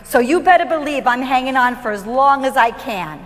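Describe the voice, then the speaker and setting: A woman's voice: high-pitched, lively intonation, brisk, in a large hall. An actress playing a frail, wisecracking, kind-hearted elderly mother in a staged musical, speaking in a folksy, emphatic, theatrical way. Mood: wry, defiant. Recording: live stage performance over loud piano. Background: piano accompaniment.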